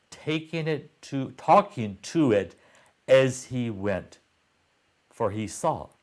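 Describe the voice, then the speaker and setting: A man reading a children's story aloud in a deliberate way, pausing between short phrases. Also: medium pitch.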